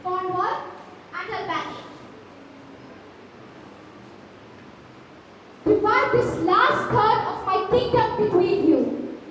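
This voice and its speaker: A young woman playing a proud, quick-tempered old king and father in a formal, imperious way in a school stage play. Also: extremely high pitch, expressive. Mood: solemn. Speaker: student actor. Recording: echoey hall from far off, faint noise.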